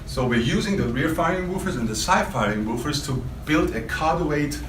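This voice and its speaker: A man's voice: fairly high pitch, lively intonation, moderate pace. A man giving a spontaneous, emphatic product demonstration to a small audience, quizzing his listeners with rhetorical questions. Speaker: product demonstrator for a loudspeaker maker. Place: indoor hotel demo room. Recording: roomy trade show demo, faint background noise.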